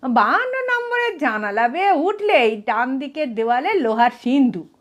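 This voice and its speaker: Woman playing a fussy, high-voiced absent-minded male burglar. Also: very animated, moderate pace. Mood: chatty, explaining. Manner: polite, complaining, exclamatory. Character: short absent-minded male burglar, apologetic yet indignant and chatty.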